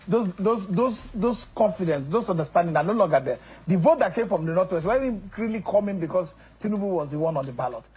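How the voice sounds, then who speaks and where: Fast, insistent Nigerian man, high voice. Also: animated, a little mellow, breathy edge, poor audio. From northern Nigeria. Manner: insistent, repeats words when pressing a point. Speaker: political guest. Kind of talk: heated political interview.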